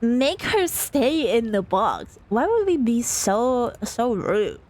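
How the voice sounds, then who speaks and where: A woman's voice: fairly high pitch, very animated, takes it slowly, darkish tone. A woman reading a visual novel aloud on a playthrough, breaking off into giggly, amused asides.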